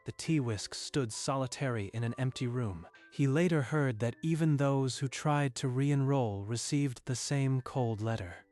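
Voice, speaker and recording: A man reading scripted story narration in a measured, literary storytelling manner. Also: mid-range voice, darkish tone, faint music behind.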